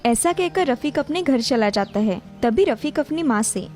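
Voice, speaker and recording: A woman's voice: high-pitched, lively intonation, moderate pace, a little mellow. A woman reading a scripted children's story as narrator, with music behind her. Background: background music.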